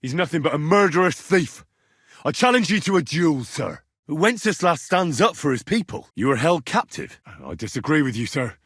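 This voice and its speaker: An actor playing an earnest, blunt young medieval squire in a video game, plain-spoken and a little husky. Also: male voice, a little high, sing-song, normal speed, a bit bright, dry recording, studio quality, exaggerated voice. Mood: indignant. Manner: plain-spoken, polite to nobles, bluntly challenging when provoked. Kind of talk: video game dialogue acting.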